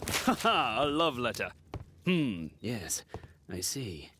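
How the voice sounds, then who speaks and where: An animated, theatrical Victorian gentleman. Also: mid-range voice, takes it slowly, a little hiss or hum, full character voice. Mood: smug. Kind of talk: video game dialogue acting.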